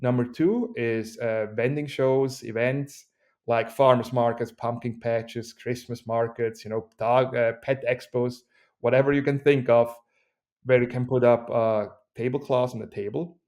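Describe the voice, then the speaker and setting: Man, Swiss accent, company CEO guest, energetic, joking, mid-range voice. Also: unhurried. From Switzerland. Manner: energetic, joking pitchman delivery. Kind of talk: podcast interview.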